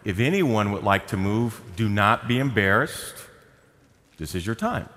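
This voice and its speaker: A male pastor giving a sermon in a folksy, explanatory way, dropping to quiet asides and rising to emphatic points. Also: on the low side, expressive, unhurried. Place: church sanctuary. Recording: reverberant church hall.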